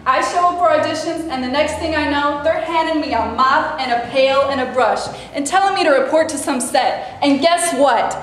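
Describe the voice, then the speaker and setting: A woman's voice: high-pitched, moderate pace, hardly any pauses, close to an everyday voice. Woman playing a brassy, sarcastic bit-part actress. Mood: indignant. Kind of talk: staged play reading. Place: theater stage before a live audience. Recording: very echoey stage, faint room noise.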